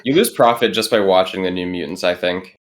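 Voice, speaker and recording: A man's voice: sits low, fairly quick. A man hosting a casual podcast, moderating the chat in a conversational, clean-spoken way. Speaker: podcast host.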